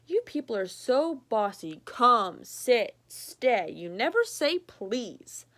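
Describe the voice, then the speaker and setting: A woman's voice: a little high, very animated, takes it slowly, a bit bright, exaggerated voice. A woman voicing a bossy, chatty family dog in a picture book, cheeky and emphatic.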